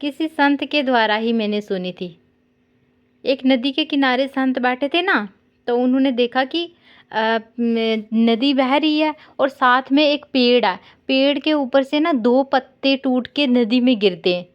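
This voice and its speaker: High-pitched woman chatting on a call. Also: unhurried. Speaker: caller consoling an older sister figure. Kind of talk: casual phone chat with storytelling. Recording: dull lo-fi call recording.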